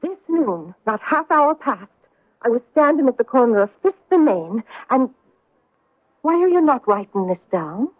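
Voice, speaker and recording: A woman's voice: sits high, big pitch swings, measured pace, no echo, full character voice. Feisty woman, lilting, indignant, theatrical old radio drama delivery, dry and narrow muffled vintage recording. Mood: brisk, indignant. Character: feisty, evasive Irish-American woman reporting a theft.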